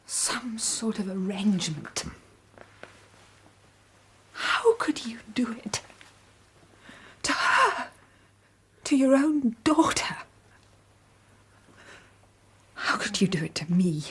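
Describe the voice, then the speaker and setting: An actress in a British radio drama playing a wronged young gentlewoman, hurt, proud and indignant, with a breathy, half-whispered British voice. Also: fairly high pitch, animated, slow, long pauses, heavily acted. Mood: shocked reproach.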